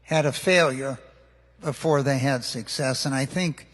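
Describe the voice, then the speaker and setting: Slow-speaking male interviewer in a reverberant hall. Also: mid-range voice. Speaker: interviewer on stage. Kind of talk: measured on-stage interview talk. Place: large indoor hall before an audience.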